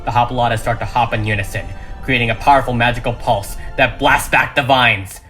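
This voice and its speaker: A man's voice: medium pitch, fairly even intonation, fairly quick, a bit bright. A man reading a script as dramatic narration, with emphatic, suspenseful delivery. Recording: music behind the voice.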